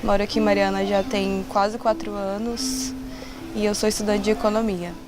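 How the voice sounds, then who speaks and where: A woman's voice: medium pitch, little pitch movement, normal speed, music in the background. A woman, an economics student giving a candid personal testimony. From interior of Minas Gerais, Brazil. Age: 20s. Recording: noisy background ambience.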